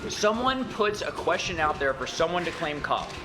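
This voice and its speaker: Young man, high and lively voice, insistent, lecturing, music behind. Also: normal speed. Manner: insistent, lecturing, self-assured. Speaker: visiting guest. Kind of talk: animated game argument.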